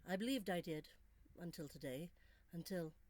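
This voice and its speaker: A woman voicing a charming, intense Victorian gentleman in a storybook, courtly, romantic and deliberate. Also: fairly low pitch, expressive, dry recording. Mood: grave, romantic. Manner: courtly, deliberate, sometimes hushed.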